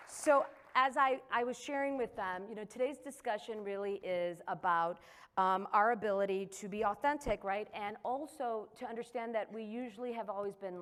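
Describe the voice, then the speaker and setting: Woman moderator opening a panel, upbeat and admiring. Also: medium pitch. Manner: enthusiastic, warm praise of guests. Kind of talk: introducing a panel.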